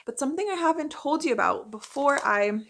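A woman's voice: high-pitched, lively intonation, brisk, fairly bright tone, slight background noise. A young adult woman talking in a chatty, informal way, as in a casual first-person vlog to camera. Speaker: vlogger and working actor.

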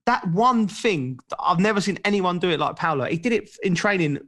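A man in his thirties, co-host of a football show, tells anecdotes with a bouncy, swooping voice that sits fairly high. He is on a video call from home using earphones and laughs his way through the stories. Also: animated, brisk, a bit bright. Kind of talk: casual chat. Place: home room, indoors.

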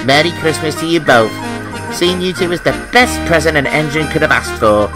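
Man voicing an excitable, high-pitched little cartoon engine. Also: unhurried, over loud music. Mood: warm, grateful. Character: cheerful, eager, kind-hearted young male tank engine Percy.

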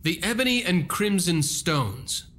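A man's voice: sits high, sing-song, a little slow, pushed and bright, in a large hall, heavily acted. A man playing a stern, earnest young vampire hunter in a video game cutscene, speaking in a demanding way. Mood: questioning.

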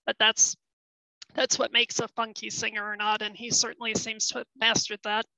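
A middle-aged woman presents historical research in an online talk, explaining carefully with frequent ums and small restarts. Her voice is bright and slightly high, and it is starting to give out. The sound is close and dry, through a headset at home. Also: little pitch movement, moderate pace, vocal fry, no echo, low-quality recording. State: losing her voice. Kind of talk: webinar presentation.

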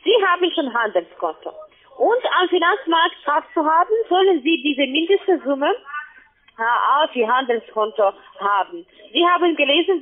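High, lively woman on phone. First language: not German. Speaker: call centre sales agent. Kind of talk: halting sales pitch. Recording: narrow, tinny phone line.

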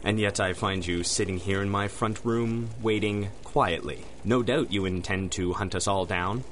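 Arrogant sanitarium doctor male actor, radio drama: clinical, pompous, on the deep side. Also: normal speed, a bit bright, slight background noise, close to an everyday voice. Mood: condescending. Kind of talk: 1930s-style radio drama acting.

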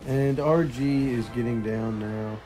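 A young man with a hoarse, partly lost voice, casually commentating a mobile strategy game and chuckling quietly as he plays. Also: medium pitch, takes it slowly, soft background music, rough recording. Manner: relaxed, chuckles quietly while playing.

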